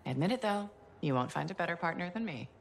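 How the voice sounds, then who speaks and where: A confident veteran mercenary woman from a video game. Her voice sits low, and she talks in a casual, straightforward way with friendly, wry humor. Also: expressive, quick pace, creaky, a little hiss or hum. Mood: teasing. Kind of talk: naturalistic video game dialogue acting.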